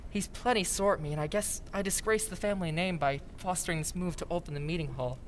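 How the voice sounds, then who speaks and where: A woman playing a low-pitched, nervous young gentleman. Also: fast talker, a little hiss or hum, natural voice. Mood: nervous, polite. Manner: hesitant, deferential. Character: Edward Darrow, nervous, polite young male civic reformer. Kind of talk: old-time radio drama acting.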